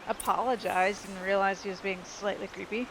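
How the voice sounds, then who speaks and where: A woman's voice: mid-range voice, fairly even intonation, moderate pace, noisy background. A woman giving casual commentary while playing a video game. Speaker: gaming video creator. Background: video game music and sound.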